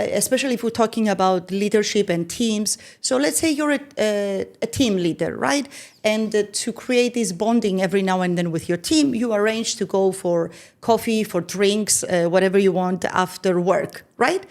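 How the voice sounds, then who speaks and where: Woman, podcast guest. Patient, methodical explainer. Mid-range pitch. Keeps checking the listener follows. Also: moderate pace, a little mellow. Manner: explains step by step, often checking in with "right?". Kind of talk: explanatory conversation.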